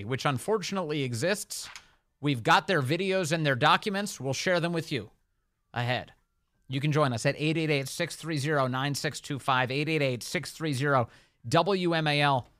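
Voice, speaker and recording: A male talk radio host with a bright, forceful voice set a little high. He speaks conversationally about politics, recorded dry and close as in a broadcast studio. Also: no room sound.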